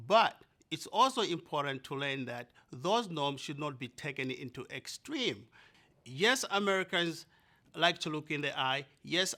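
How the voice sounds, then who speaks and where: A man from Zambia telling a personal story to an audience, animated and conversational, often speaking to the listeners directly. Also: fairly high pitch.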